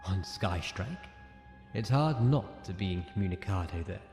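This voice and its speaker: An actor playing a stern, pragmatic military academy commandant, blunt and firm, in a dramatic comic dub. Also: male voice, sits low, lively intonation, normal speed, a little mellow, faint music behind. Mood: wry, pragmatic.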